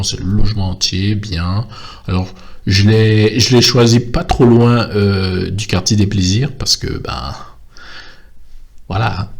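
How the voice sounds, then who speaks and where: A young man from French Guiana talking casually to his viewers, rambling with many fillers and restarts. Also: low-pitched. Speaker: vlogger. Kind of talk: casual monologue to camera.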